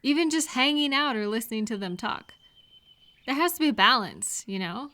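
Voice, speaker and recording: A woman acting a caring, cheerful friend in a scripted roleplay audio, talking gently and attentively to the listener. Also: on the high side, animated. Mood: gentle, concerned. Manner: gentle, unhurried, attentive questioning with pauses for replies.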